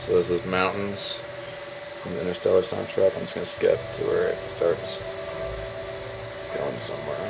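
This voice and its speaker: Low-voiced man casually demoing a DIY build. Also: moderate pace, creaky, pauses often, very noisy. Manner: informal, thinking aloud while handling gear. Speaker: DIY builder demonstrating his project. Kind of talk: casual off-the-cuff demo talk.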